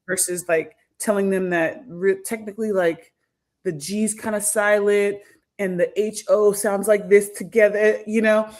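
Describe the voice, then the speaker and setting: A woman in her thirties answers interview questions at an unhurried, reflective pace. She hesitates, restarts and circles back as she works through her thoughts. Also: mid-range voice, fairly even intonation, darkish tone. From United States. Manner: thoughtful, many fillers and restarts. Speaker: podcast guest, academic.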